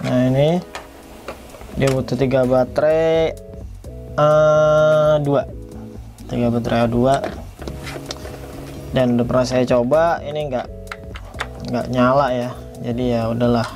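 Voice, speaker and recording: A man's voice: mid-range voice, steady tone, takes it slowly, pauses often, darkish tone, faint music behind. A man giving a casual, unscripted product review, rambling a little and repeating himself as host. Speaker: toy reviewer, video host.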